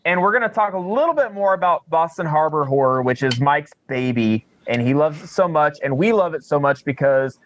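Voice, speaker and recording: Higher-voiced male podcast host. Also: animated, fairly quick, hardly any pauses, lo-fi sound, a little hiss or hum. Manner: informal, jokey, rambling. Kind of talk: casual chat.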